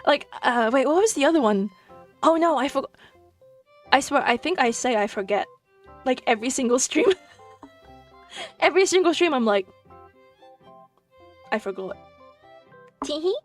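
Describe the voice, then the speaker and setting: Bubbly, giggly high-voiced woman streamer. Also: lively intonation, unhurried, pauses often, far from the mic. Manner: bubbly, giggly, playful, many fillers and restarts. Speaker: VTuber streamer and convention rep. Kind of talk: casual live-stream chat. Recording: voice a little off-mic, faint music behind.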